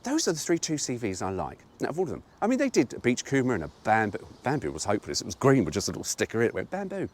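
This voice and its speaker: Lively, chatty British man. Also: medium pitch, rapid-fire, hardly any pauses. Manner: chatty, wry asides, laughs at own jokes. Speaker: host reading a car brochure. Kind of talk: casual storytime reading.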